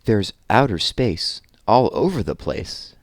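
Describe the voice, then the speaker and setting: A man reading a children's story aloud as host, with an expressive, deliberate storyteller delivery. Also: lowish voice, dry recording, studio quality. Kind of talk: expressive children's picture book read-aloud with informal asides.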